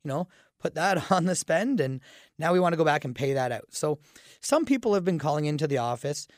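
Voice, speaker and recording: A man with a raspy voice from a cold hosts a casual radio talk show, chatting with fillers and restarts. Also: a little high, dry recording, studio quality. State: fighting a cold, groggy. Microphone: studio microphone.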